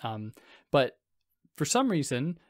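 A man hosting a casual solo podcast, explaining philosophy in a soothing, relaxed, rambling way with self-deprecating asides. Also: medium pitch, takes it slowly, no echo, studio quality. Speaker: podcast host.

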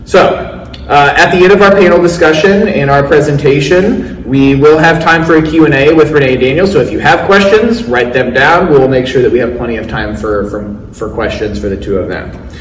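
A man moderates a live panel casually, leaning on fillers and letting his voice drop. His pitch sits in the middle. He sounds distant, in an echoey hall with faint crowd ambience. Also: a little fast, a little hiss or hum. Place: large event hall. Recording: distant mic in a roomy hall.

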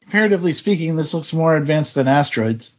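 A man's voice: a little high, expressive, fast. A man hosting a gaming podcast, opening the show and reacting with curiosity in casual chat. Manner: curious, reacting as he looks things up. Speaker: podcast host. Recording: lo-fi with music behind.

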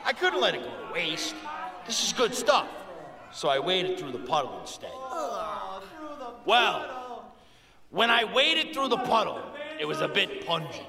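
An actor playing a crude, boastful uncle in a comic audio drama, bombastic and rambling. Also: male voice, high-pitched, big pitch swings, unhurried, vocal fry, pauses often, a crowd in the background, full character voice. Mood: rambling, defensive. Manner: foul-mouthed, bombastic, rambling. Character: crude, boastful, smelly uncle in theater troupe. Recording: echoey hall with audience noise.

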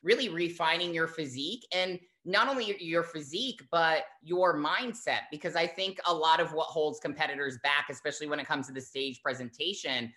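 A fitness coach talking in a casual interview, animated and chatty, with lots of fillers. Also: mid-range voice, brisk, few pauses.

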